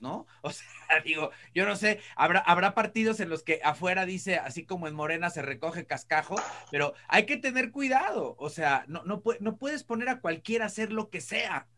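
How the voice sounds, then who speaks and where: A middle-aged Mexican man giving casual opinions as a talk show panelist, halting and rephrasing as he goes. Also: high voice, sharp tone. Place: indoor room, on a video call. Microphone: video call.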